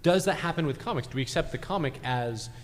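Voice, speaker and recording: A man's voice: a little high, lively intonation, quick pace, slight background noise. A man on a live podcast panel, steering the discussion in an analytical, conversational way. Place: large convention hall stage. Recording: echoey hall, live on stage.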